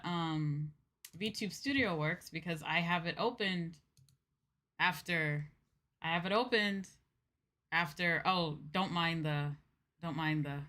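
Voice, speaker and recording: A woman's voice: lowish voice, bright, forceful tone. A woman hosting a casual livestream, chatting to viewers in a flustered, scattered, apologetic manner. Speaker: VTuber livestream host.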